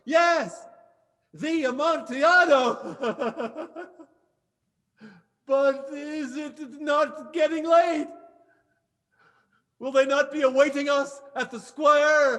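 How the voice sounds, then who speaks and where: A doomed, drunken nobleman in a horror drama, pleading and exclaiming haltingly in a very high voice. The delivery is drawn out at a crawl, with a bright, strained edge and long hollow reverb. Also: full character voice. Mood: desperate forced mirth. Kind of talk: theatrical horror audio-visual drama. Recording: long hollow reverb, video-call stage reading.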